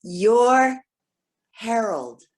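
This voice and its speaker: Actress as a slow, genteel elderly romantic woman. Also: on the high side, very animated, exaggerated voice. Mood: tender, smitten. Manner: prim, old-fashioned formal wording. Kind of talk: staged readers theater acting.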